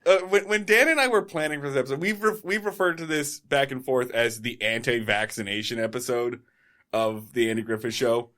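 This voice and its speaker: A man's voice: mid-range voice, brisk, sharp tone. A man co-hosting a podcast, rambling loosely and laughing often. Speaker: podcast co-host. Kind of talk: casual podcast chat.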